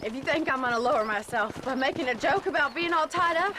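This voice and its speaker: An actress playing a proud, sharp-tongued frontier woman in a video game, scolding and defiant. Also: high-pitched, fast talker, noisy background, natural voice. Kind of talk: video game cutscene acting.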